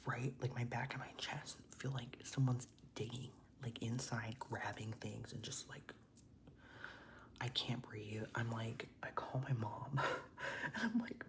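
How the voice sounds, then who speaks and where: A young man tells a long story in a soft ASMR whisper while he does his makeup. His murmured voice has a gravelly, fry-laden edge and sits at a middling pitch. He rambles loosely, fills his sentences with "like," and swears offhandedly. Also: steady tone, slow. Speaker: vlogger.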